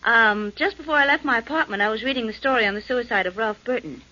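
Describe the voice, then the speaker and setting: An actress playing a detective's girlfriend in a 1940s radio drama, light and gently teasing. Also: a little high, fast talker. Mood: playful. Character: detective's girlfriend, warm and playful. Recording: dull lo-fi 1940s radio broadcast.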